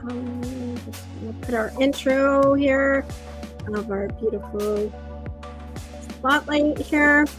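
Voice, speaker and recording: A woman's voice: high-pitched, takes it slowly, pauses often, over music. A woman hosting a livestream interview, bubbly and effusive, with frequent ums. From Canada. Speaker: host.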